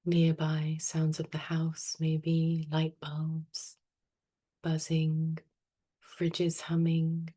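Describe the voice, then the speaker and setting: Low, mellow woman guiding meditation. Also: monotone. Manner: soft, soothing, unhurried with long pauses. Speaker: meditation teacher. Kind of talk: guided meditation.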